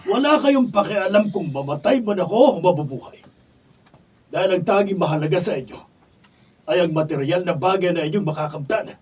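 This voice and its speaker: Aging father, hurt and reproachful, husky high voice, muffled distant recording. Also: animated, fairly quick. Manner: sorrowful, reproachful pleading. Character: wounded old father testing his children. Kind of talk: 1970s-style radio drama acting. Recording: muffled studio from far off.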